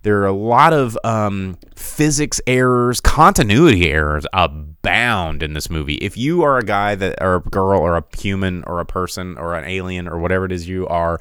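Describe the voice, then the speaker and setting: Low-voiced guy rambling on his podcast, smooth tone, dry close mic, keeps restarting sentences. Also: moderate pace, few pauses, a bit bright, dry recording. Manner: rambling, digressive, many restarts; reads out viewer comments. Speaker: podcast host. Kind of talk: casual live-stream chat.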